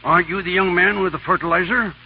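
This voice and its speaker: An actor playing a befuddled, absent-minded older professor, dithering hesitantly in 1930s radio comedy. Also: male voice, high voice, fairly even intonation, a little fast. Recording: muffled, hissy 1930s radio recording.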